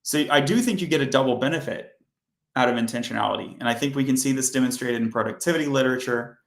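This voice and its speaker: A man hosting a coding livestream, chatting casually and rambling with self-corrections, his voice hoarse and fading. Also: mid-range voice, quick pace, echoey room.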